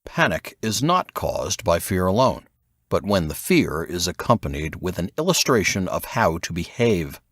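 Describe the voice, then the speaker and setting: A man's voice: low-pitched, very animated, moderate pace, a bit bright, no room sound, clean studio sound. A man reading a thriller novel aloud as scripted narration, with dramatic expression. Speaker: audiobook narrator.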